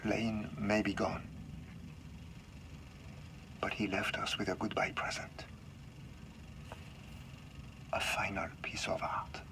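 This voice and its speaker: An actor playing a vain, resentful male lab director in a video game, with a French accent and a pompous, theatrical, halting delivery. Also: fairly low pitch, flat delivery, whispered. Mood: subdued, halting. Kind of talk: dramatic video game acting. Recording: music behind voice.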